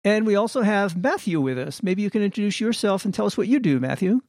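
A man's voice: high voice, very animated, quick pace, darkish tone, no echo, clean studio sound. A man co-hosting a podcast, asking guests friendly prompting questions. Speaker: podcast co-host. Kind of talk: interview hosting.

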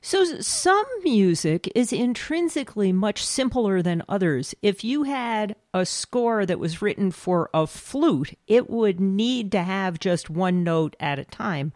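A woman co-interviewer asks curious questions in a very animated voice. The recording is dry and close. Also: medium pitch, no echo.

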